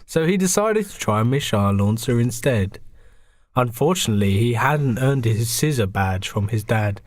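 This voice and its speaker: A man narrates improvised comedy as a podcast host. His mid-range voice has a deadpan storybook delivery. The recording is close and dry. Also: mid-range voice, normal speed, no echo.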